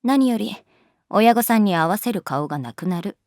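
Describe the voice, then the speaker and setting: A woman's voice: mid-range voice, lively intonation, normal speed, a little mellow, no room sound, natural voice. A woman plays an easygoing, wry schoolteacher in a voice drama. Her mid-range voice moves up and down freely as she teases in a casual, indulgent way. The sound is dry and intimate. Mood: firm, indulgent. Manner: casual, teasing, nonchalant. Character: wry, laid-back adult female schoolteacher, quietly indulgent. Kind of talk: Japanese voice drama acting, natural dialogue.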